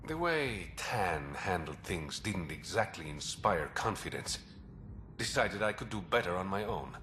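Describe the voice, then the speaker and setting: An actor playing a secretive man who brokers information in a port, confiding and smooth. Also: sits low, big pitch swings, unhurried, bright, forceful tone, a little hiss or hum, exaggerated voice. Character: shadowy male underworld information broker.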